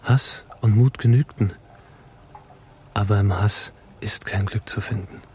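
A man portrays an idealistic revolutionary torn by anguish in a radio play. He speaks low and slowly, earnest and reflective, with faint background noise. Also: steady tone, darkish tone, lightly acted. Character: idealistic, anguished male revolutionary steeling himself to kill.

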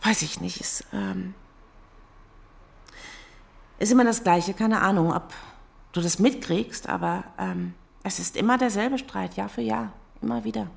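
A woman's voice: mid-range voice, a little slow, vocal fry, long pauses, breathy edge, full character voice. An actress playing an exasperated, sarcastic girlfriend who teaches school, in naturalistic, colloquial radio play dialogue. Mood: weary, hurt.

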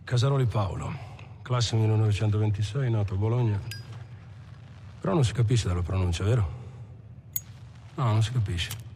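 A man's voice: lowish voice, dark tone, a little hiss or hum, close to an everyday voice. An actor playing a provocative young robber from Bologna, challenging his listeners with rhetorical questions in a film monologue. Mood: taunting. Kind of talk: dramatic monologue in a docudrama film.

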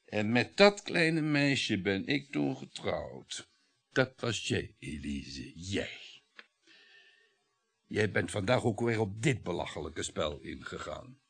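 A man's voice: fairly low pitch, big pitch swings, takes it slowly, creaky, fairly bright tone, slightly rough, heavily acted. A man acting a domineering, middle-aged husband in a Dutch radio play, talkative and sarcastic, needling with sardonic chuckles. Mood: brooding.

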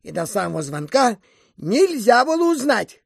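An actor playing a strict, petty, self-important schoolteacher in an audio drama, with a rasping, scolding, moralizing delivery. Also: mid-range voice, sing-song, moderate pace, no room sound, clean studio sound, exaggerated voice. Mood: smug, boastful. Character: strict, petty schoolteacher who hates clever boys. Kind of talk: audio drama character acting.

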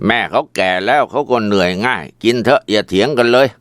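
A man voicing a strict middle-aged father who scolds loudly. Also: on the low side, fast talker, clear, resonant tone, no room sound, studio quality, close to an everyday voice. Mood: weary. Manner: shouting, scolding.

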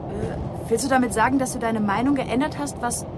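A woman's voice: fairly high pitch, brisk, natural voice. A young woman playing a brash, flippant, slangy teenage girl in a radio drama. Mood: defensive, exasperated. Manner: slangy, teasing. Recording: clear car noise behind voice. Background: vehicle ambience.

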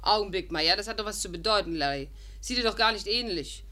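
A woman playing a sharp-tongued, suspicious young actress in a 1950s German radio play, tart and sarcastic, on a noisy old recording. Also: mid-range voice, lively intonation, fast. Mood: irritated, sarcastic.

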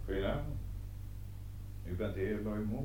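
A man's voice: fairly low pitch, normal speed, warm tone, lightly acted. A man playing a sardonic, probing police detective in a radio drama, questioning in a dry, insinuating, hesitant way. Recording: muffled, noisy old radio play recording.

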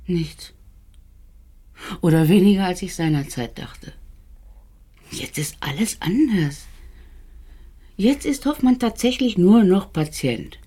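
Earnest, husky, low-voiced female assistant. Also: animated, takes it slowly, long pauses, mellow, exaggerated voice. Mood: resigned. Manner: hesitant, searching, dropping into whispers. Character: earnest, conscience-stricken woman, a doctor's long-time assistant. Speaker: actress. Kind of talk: German radio drama dialogue acting.

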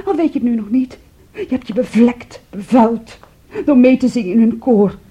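An actress in a Dutch radio play playing an intense, wounded, possessive young woman, brooding and reproachful, with a breathy delivery. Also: fairly high pitch, normal speed, warm tone, lo-fi sound. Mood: bitter, accusing. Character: Andrea, intense, wounded, possessive young sister clinging to her brother.

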